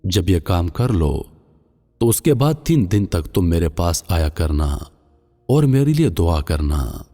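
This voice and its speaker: Man voicing a solemn, very deep dying father, cavernous reverb. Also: very animated, takes it slowly, dark tone, exaggerated voice. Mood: solemn, pleading. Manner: grave, admonishing. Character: solemn dying father counselling his son, later a dream spirit. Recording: long cavernous reverb, music underneath.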